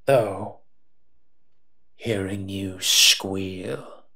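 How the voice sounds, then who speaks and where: Monster companion played by a man: lowish, forceful voice, sardonic and menacing yet protective, for audio roleplay. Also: drawn-out words, full character voice. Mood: teasing, amused. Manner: teasing, sardonic, muttering asides. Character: eight-foot male smoke monster, sardonic, menacing, protective. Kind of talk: scripted roleplay audio drama.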